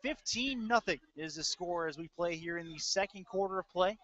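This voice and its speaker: A man's voice: sits high, moderate pace, a bit bright. An American man working as a play-by-play announcer, giving live commentary on a football game. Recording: dull, lo-fi webcast audio.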